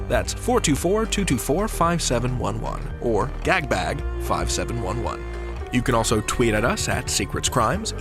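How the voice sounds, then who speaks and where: A man hosting a podcast in a polished, playful presenter style. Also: medium pitch. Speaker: podcast host. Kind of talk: interviewing and scripted show outro.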